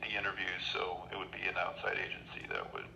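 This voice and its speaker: Brisk man on a noisy phone line. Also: low-pitched, monotone, vocal fry, sharp tone. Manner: matter-of-fact, firm, procedural. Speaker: law enforcement official taking a call. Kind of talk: official phone conversation. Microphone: telephone. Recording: dull, noisy telephone line.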